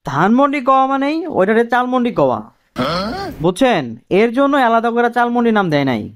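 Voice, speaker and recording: A man voicing a cheeky, quick-witted cartoon job candidate who explains things deferentially in colloquial Dhaka-accented Bangladeshi Bengali. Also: very high voice, big pitch swings, smooth. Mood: confidently explaining. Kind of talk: comic cartoon dialogue.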